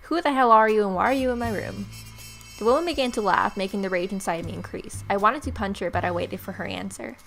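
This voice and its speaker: A woman's voice: medium pitch, quick pace, gravelly, a bit bright. A young adult woman hosting a let's play, reading visual novel text aloud expressively with casual, sarcastic reactions. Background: game music.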